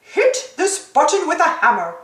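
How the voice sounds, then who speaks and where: Woman doing male bull showman, storybook, hearty, mocking, swooping pitch, distant mic. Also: huge pitch swings, moderate pace, roomy sound. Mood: showy.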